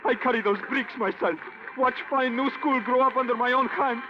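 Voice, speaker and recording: A man playing an excitable, indignant little bricklayer with broken in vintage radio drama style. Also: very high voice, moderate pace, muffled recording. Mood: indignant, frantic. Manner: agitated, pleading, broken English. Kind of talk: 1950s radio acting. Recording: noisy band-limited old radio recording.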